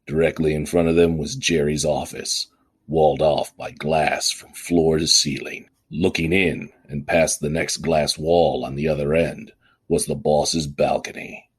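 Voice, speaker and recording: Very deep-voiced male noir narrator. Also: little pitch movement, measured pace, slightly rough. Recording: music under the voice.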